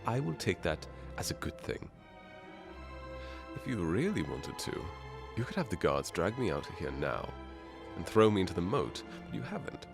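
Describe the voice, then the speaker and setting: A man's voice: deep voice, big pitch swings, normal speed, gravelly, music in the background, heavily acted. A man playing a charming, teasing masked nobleman in a romantic ASMR fairytale roleplay, suave and playful with courtly phrasing. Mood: amused, teasing.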